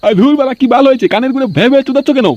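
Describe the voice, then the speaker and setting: An unusually high, rapid cartoon voice for a cranky middle-aged village father, played by a man. He scolds and threatens crudely, swearing constantly, over clearly noisy audio. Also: lo-fi sound. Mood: angry, suspicious. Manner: scolding, threatening, crude curse-laden speech. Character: bad-tempered, stingy, abusive middle-aged village father. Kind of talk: comic cartoon dialogue.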